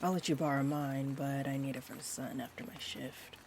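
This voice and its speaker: Over a faint bed of rain, a woman plays a friendly vampire bartender with a low-pitched voice. She is gentle and a little hesitant, drifting into whimsical tangents. It is an intimate comfort roleplay, soft and sweet in manner. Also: fairly quick, a little hiss or hum. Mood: gentle concern. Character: sweet rambling friendly female vampire bartender, looks twenty-four. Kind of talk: roleplay audio, soft intimate rambling monologue. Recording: steady rain ambience behind the voice.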